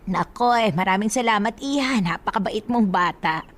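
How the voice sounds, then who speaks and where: A woman's voice: mid-range voice, moderate pace, close to an everyday voice. Actress as fond, coaxing old Filipina grandmother. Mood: grateful. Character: kindly, teasing elderly Filipina grandmother, restless and matchmaking. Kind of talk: radio drama acting.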